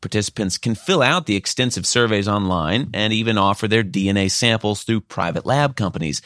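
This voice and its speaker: A male radio reporter with a lowish voice reads his scripted news piece. His delivery is measured and even. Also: no echo, clean studio sound.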